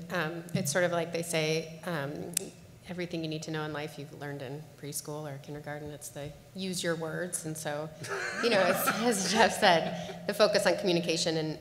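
A woman with a low voice speaks on a panel in a large conference hall. She answers in a measured way, pausing on fillers and restarting her phrases. The sound is roomy, with a long reverb and a faint hum. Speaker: panelist on payer contracting.

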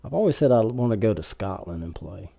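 A man's voice: lowish voice, sing-song, fairly quick, vocal fry, mellow. A man chatting casually as a podcast guest, laid-back and plain-spoken. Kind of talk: casual chat about golf.

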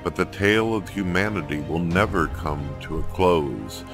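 A man delivering solemn scripted story narration in a grave, dramatic, measured manner. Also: low voice, music in the background.

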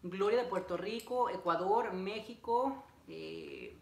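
A man's voice: high-pitched, wildly swooping pitch, takes it slowly, darkish tone. Young man chatting in an echoey room. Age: young adult. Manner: chatty, reads out greetings, repeats phrases. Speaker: vlogger. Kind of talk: casual livestream chat with viewers while assembling furniture. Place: living room at home. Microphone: camera a few feet away. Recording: echoey room, noisy, clicks.

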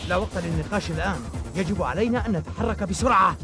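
High-voiced eager male fighter played by an actor. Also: fast, close to an everyday voice. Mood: urgent. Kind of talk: dubbed cartoon acting. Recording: loud music behind the voice.

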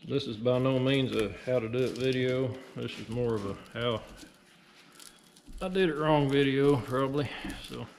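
A man's voice: mid-range voice, moderate pace, creaky, a little mellow, a little hiss or hum, poor audio. A middle-aged man talking casually while working in a metal workshop, rambling and self-deprecating. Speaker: excavation business owner.

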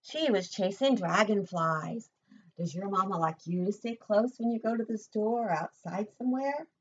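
A woman's voice: mid-range voice, animated, normal speed, muffled recording, slight background noise. An older woman, a preschool teacher, reading a picture book expressively to young children and asking simple questions, recorded indoors at home. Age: older, 60s or above.

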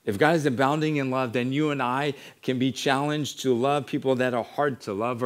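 A middle-aged American pastor delivers a sermon from the pulpit. His voice is mid-pitched and his delivery is earnest and measured. He exhorts his listeners in repeated, parallel phrases. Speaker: pastor preaching. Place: church sanctuary, at a wooden pulpit.

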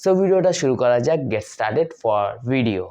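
A man's voice: mid-range voice, animated, a little slow, background noise. A man introducing a tutorial video as host, dropping in English phrases.

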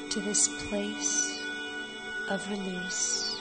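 A woman narrating a guided meditation in a soothing, measured manner with long pauses. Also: medium pitch, level tone. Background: loud New-age music.

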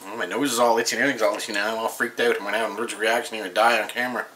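Man in his thirties, bright voice, offhand rambling, camera mic in a small room, faint hiss. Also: on the low side, steady tone, racing. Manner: rambling, offhand, reads label aloud. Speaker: beer reviewer. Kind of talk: casual home video review. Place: small room at home, indoors.

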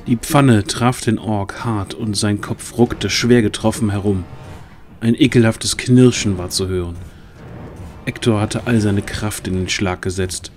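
Low-voiced male fantasy narrator. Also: fairly even intonation, measured pace, a little mellow, faint music behind. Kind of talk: scripted dramatic fantasy narration.

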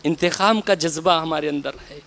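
A man in his thirties from India, speaking with an Indian Urdu accent, delivering a Friday sermon in a mosque on a headset mic, swinging between soft low asides and loud, impassioned exhortations. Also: normal speed. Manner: repeats his refrains to the congregation, gestures while preaching. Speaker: imam giving the Friday sermon. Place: mosque, indoors, tiled wall behind. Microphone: headset mic and stand mic.

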